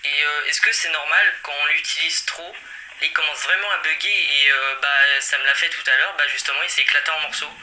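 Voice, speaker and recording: Hesitant, bright-voiced man on the phone. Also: fairly high pitch, fairly even intonation, brisk, a little hiss or hum, rough recording. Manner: hesitant, rambling, feigning confusion. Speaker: prank caller. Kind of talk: casual hesitant phone complaint.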